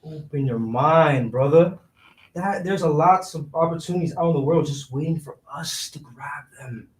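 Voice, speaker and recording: A man's voice: a little high, normal speed, darkish tone, natural voice. An actor playing a scheming, broke young city man in a staged reading, talking in slangy, persuasive street phrasing. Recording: roomy echo, distant microphone.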